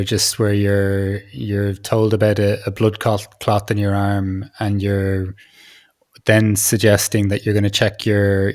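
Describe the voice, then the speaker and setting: Low, even-toned man interviewing tentatively. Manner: tentative, circling questions with many restarts. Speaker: podcast host, interviewer. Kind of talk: conversational long-form interviewing.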